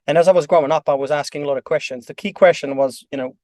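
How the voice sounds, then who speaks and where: A man's voice: a little high, very fast, mellow, no echo, studio quality. A man from Norway with a Norwegian accent, speaking earnestly as a podcast guest with frequent ums and uhs. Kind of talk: podcast interview.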